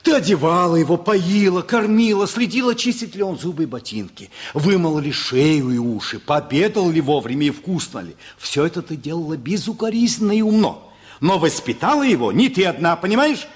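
An actor as an emphatic, high-voiced family man. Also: expressive, a little fast, lightly acted. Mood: earnest, forceful. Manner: emphatic, reasoning, insistent. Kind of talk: stage-style radio play acting.

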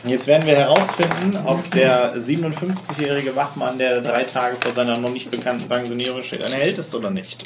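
A man's voice: mid-range voice, steady tone, brisk, hardly any pauses, noisy background. A man running a tabletop role-playing game, narrating scenes to his players with a wry, playfully dramatic touch. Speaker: game master. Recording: roomy echo, music underneath.

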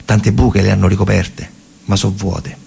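Gravelly, low-voiced Roman man telling a story. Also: level tone, a little mellow, slight background noise. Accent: central Italian, Roman. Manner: colloquial, emphatic, with pauses and repetitions. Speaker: stage actor and narrator. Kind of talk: dramatic theatrical storytelling monologue.

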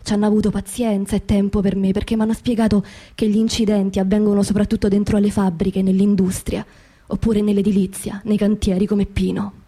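An actress playing a grieving working-class widow in a radio theatre monologue, with a Roman accent and an intimate, halting delivery that repeats phrases. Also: female voice, medium pitch, flat delivery, mellow, slightly rough. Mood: subdued, weary. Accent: central Italian, Roman. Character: grieving working-class widow of a construction labourer, haunted, indignant.

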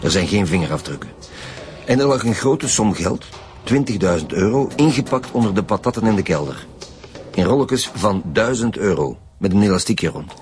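A man's voice: lowish voice, animated, moderate pace, a little mellow, faint music behind, close to an everyday voice. A man with a Flemish accent playing a seasoned chief inspector in a radio drama, firm and dryly sarcastic.

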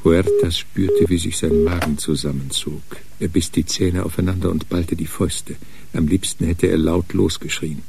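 An actor narrating a crime story in a scripted radio drama, grave and descriptive. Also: male voice, sits low, steady tone, normal speed, gravelly, background noise. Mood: grave, tense.

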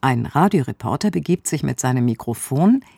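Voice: medium pitch, expressive, brisk, vocal fry, dark tone, breathy edge, no echo. A radio presenter giving a scripted introduction to an audio drama. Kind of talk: scripted narration.

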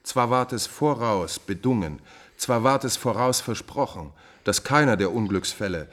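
An actor playing a calculating, coolly insolent court adviser in a classical German radio drama, with a measured, formal, insinuating delivery. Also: male voice, medium pitch, lively intonation, fairly bright tone, close to an everyday voice. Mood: cool self-justification.

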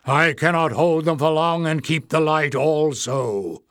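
A man's voice: a little high, a little slow, a bit bright, clear, resonant tone, no echo, studio quality. A man voicing a wrinkled old male goblin official who gives stern, scolding orders. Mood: imperious.

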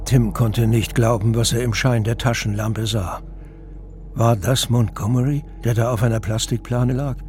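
A man's voice: mid-range voice, steady tone, moderate pace, darkish tone. A man narrating a scripted audio drama. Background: music.

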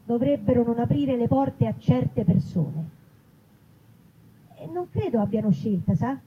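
A woman's voice: fairly high pitch, animated, unhurried. A woman playing a blunt, grumpy, distrustful woman waiting for a bus, in a live reading. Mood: irritable. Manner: blunt, irritable. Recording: muffled live recording with noise.

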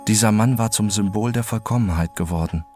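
An actor playing an introspective, obsessive man who narrates his own story in a scripted radio drama, measured and contemplative. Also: fairly low pitch, lightly acted. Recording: narration over very loud music bed.

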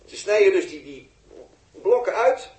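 An actor playing a sarcastic, impatient spaceship technician in a 1960s radio drama, explaining things in a condescending, halting way. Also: male voice, high-pitched, animated, a little mellow, roomy sound. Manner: sardonic, condescending, halting while busy.

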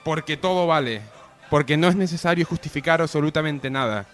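High-voiced man hosting comedy radio. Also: brisk, a bit bright, soft background music. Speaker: comedy radio show host. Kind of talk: mock-solemn presenting with banter.

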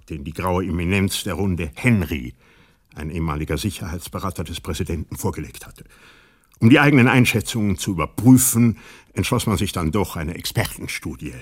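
An actor playing a sober investigator who narrates a radio play in a deliberate, measured, husky way. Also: male voice, deep voice. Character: sober male investigator recounting a conspiracy he uncovered.

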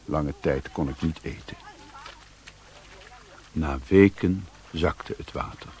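An actor playing a weary, sombre adventurer, narrating his journey in measured, solemn literary style for a radio drama. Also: rumbling low, darkish tone, a little hiss or hum. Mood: grave, reflective.

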